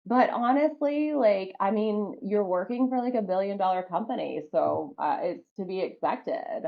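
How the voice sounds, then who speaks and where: A woman's voice: medium pitch, animated, normal speed, gravelly, highs cut off. A woman with a slight Southern accent, telling stories as a podcast guest in a chatty way, full of "like" and "um". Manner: chatty, lots of "like" and "um". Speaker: podcast guest, former wrestler. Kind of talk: casual interview storytelling.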